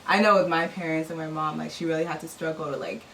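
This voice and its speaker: Soft-spoken young woman, lower voice. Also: little pitch movement, fast. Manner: soft-spoken, lots of "like" and "mm-hmm". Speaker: guest. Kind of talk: casual chat. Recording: distant mic with faint noise.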